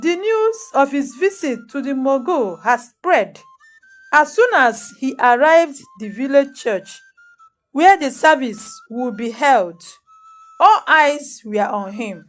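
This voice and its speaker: High-voiced, slow-paced woman storyteller. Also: animated. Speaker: storyteller, narrator. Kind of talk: dramatic scripted folktale narration. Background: music under the voice.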